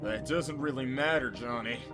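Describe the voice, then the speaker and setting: A man's voice: medium pitch, normal speed, over music, natural voice. A man acting an exasperated, sarcastic reluctant ally in an audio drama, scolding as he talks. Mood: annoyed.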